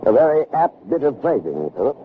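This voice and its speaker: An actor playing a proud, obsessive older scientist in a 1960s radio drama, grandiose and self-satisfied in manner. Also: male voice, medium pitch, big pitch swings, fairly quick, narrow, tinny audio, natural voice. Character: proud, obsessive older male scientist devoted to his machine. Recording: noisy, band-limited vintage radio recording.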